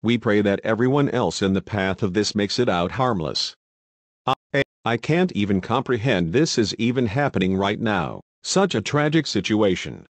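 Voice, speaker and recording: Guy playing a worried male tv news anchor, low voice, close dry sound, breaking weather coverage. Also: very animated, normal speed, dry recording. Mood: somber. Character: grave, alarmed male local TV news anchor.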